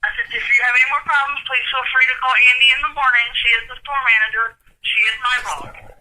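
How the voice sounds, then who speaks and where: A woman's voice: high voice, steady tone, quick pace, bright, forceful tone. A woman working as an assistant store manager, firm, polite and insistent in a customer service phone conversation. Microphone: telephone. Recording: noisy telephone line.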